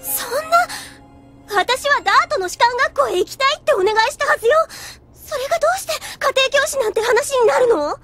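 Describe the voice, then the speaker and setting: An actress playing an earnest, reserved young woman soldier in an anime audio drama, composed and soft-spoken. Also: extremely high pitch, expressive, normal speed, heavily acted. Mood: upset, insistent. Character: earnest, reserved young female soldier recalling her past. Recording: music behind the voice.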